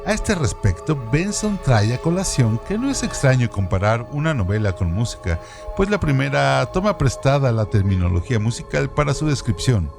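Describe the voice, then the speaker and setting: A man co-host giving a measured, deliberate scripted reading. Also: mid-range voice, very animated, creaky. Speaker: co-host reading trivia segment. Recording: music playing underneath. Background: background music.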